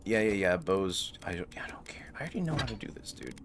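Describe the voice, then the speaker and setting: A man's voice: low voice, sing-song, fast, noisy background. A man giving casual, sardonic, rambling gameplay commentary, with some swearing. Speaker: gaming video host.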